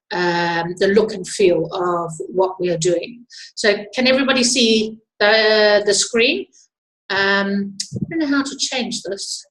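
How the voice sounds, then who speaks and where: A woman's voice: mid-range voice, a bit bright, a little hiss or hum. A middle-aged woman with a South African accent, presenting informally as the host of an online webinar. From Zimbabwe and South Africa. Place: indoors at a computer, online webinar. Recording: echoey room on computer microphone.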